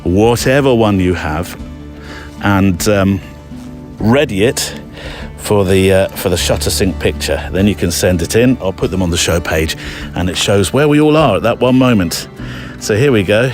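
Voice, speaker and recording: A man's voice: on the low side, expressive, moderate pace, soft background music. A man hosting a podcast in a casual, rambling, enthusiastic and self-deprecating way. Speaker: podcast host. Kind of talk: casual chatty podcast presenting.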